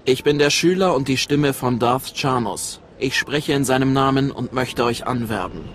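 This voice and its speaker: A man playing a proud, grave Sith apprentice recruiter in a German game dub, formal and measured. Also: mid-range voice, slight background noise, natural voice. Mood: proud, persuasive.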